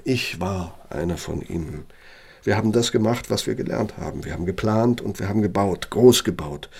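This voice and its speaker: Self-righteous older architect, deep husky voice, measured, proud, bitter, radio drama. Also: male voice, darkish tone, heavily acted. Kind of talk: naturalistic radio play monologue and dialogue.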